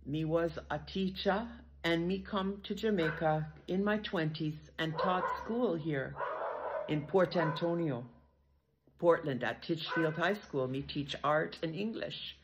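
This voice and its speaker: An older woman from Canada with a fairly low voice, speaking Patois to camera in an informal, reflective way. She takes her time, very slowly. The phone recording is dull, with faint noise. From northern Canada. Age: older, 60s or 70s. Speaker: retired teacher living in Jamaica. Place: outdoors in rural garden greenery. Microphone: phone at arm's length. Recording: muffled phone audio with some noise.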